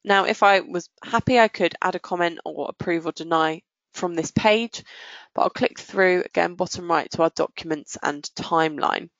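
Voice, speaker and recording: A woman presenting a software walkthrough in a methodical, explanatory way. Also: on the low side, normal speed, no room sound, dull audio. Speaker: software demonstrator.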